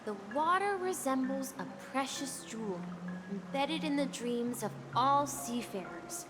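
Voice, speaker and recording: A woman voicing a gentle young boy with an earnest, wistful, hesitant manner in a video game. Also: sits high, lively intonation, measured pace. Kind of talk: video game dialogue acting. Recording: game music behind the voice.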